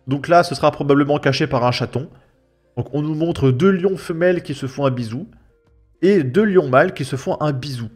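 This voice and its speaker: A man with a French accent and a fairly high voice reacts to a video in loose, colloquial speech. He is mocking and emphatic as he comments. Music plays softly behind him. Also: a little fast. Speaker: host of a reaction video channel. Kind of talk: casual spoken commentary on a video. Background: background music.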